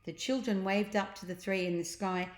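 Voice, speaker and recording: A woman's voice: mid-range voice, normal speed. A woman reading a children's storybook aloud, adding explanatory asides for young listeners. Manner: explains pictures and words to children. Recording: roomy, echoing sound.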